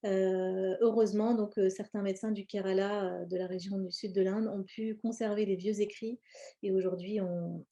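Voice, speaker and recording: A woman from France gives an informal explanatory live presentation, speaking hesitantly and often pausing mid-phrase. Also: medium pitch, warm tone. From born in India, grew up in France. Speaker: presenter, cosmetics brand founder.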